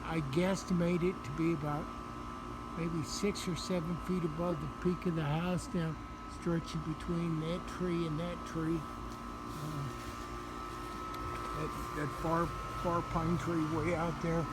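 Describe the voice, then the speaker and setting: A man giving a casual, unscripted show-and-tell update outdoors, speaking haltingly and repeating words, recorded on a handheld camera. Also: fairly high pitch, flat delivery, very noisy. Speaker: hobbyist video presenter. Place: outdoors in a residential yard. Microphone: handheld camera microphone.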